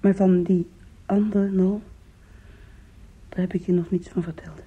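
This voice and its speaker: Anguished young woman, radio play, breathy husky tone, emotional halting confessions, muffled vintage recording. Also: on the low side, flat delivery. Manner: intimate, emotional, halting delivery. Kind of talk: Dutch radio play acting.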